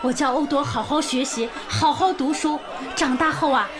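A woman's voice: high-pitched, normal speed, close to an everyday voice. An actress playing a village mother who speaks humbly and gratefully. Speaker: mother of a pupil. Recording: festive crowd and music behind.

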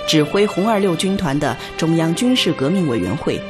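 A woman's voice: sits low, lively intonation, fairly quick. A woman narrating a radio drama from a script in a formal, solemn, declamatory manner. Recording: music clearly behind.

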